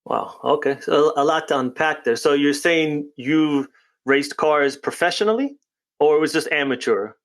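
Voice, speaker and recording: A man's voice: a little high, normal speed. A man hosting a casual podcast interview, chatty and upbeat. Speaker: podcast host.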